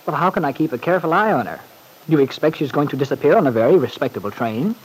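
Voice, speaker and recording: An actor playing a wry, urbane, harried gentleman who speaks hesitantly in an old-time radio serial. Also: a little high, expressive, very fast, husky. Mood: teasing, dismissive. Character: wry, harried, well-off gentleman confiding in his manservant. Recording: faintly noisy old radio recording.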